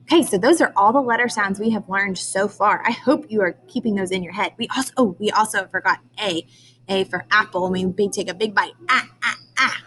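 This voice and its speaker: Woman, early-grades teacher, read-aloud lesson, question prompts, carefully enunciated key words, mid-pitched voice, background room noise. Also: fairly quick, fairly bright tone, low-quality recording.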